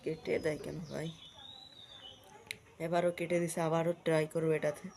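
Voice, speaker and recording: A man's voice: on the high side, flat delivery, normal speed, creaky, a little mellow, a little hiss or hum. A man with a Bangladeshi accent chatting informally to viewers as a video host, with repetitive running commentary. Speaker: prank-call video host. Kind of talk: casual vlog chat to viewers.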